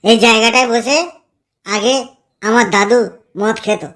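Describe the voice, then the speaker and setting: A mischievous young village lad, as a woman voices him, speaks in a lowered, put-on voice with a crude, teasing edge. He drops to a hushed, eerie tone for spooky tales. It sounds like a cartoon comedy. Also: steady tone, a little slow. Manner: crude, teasing, eerie when telling ghost talk. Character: cheeky young village man, mischievous drinker.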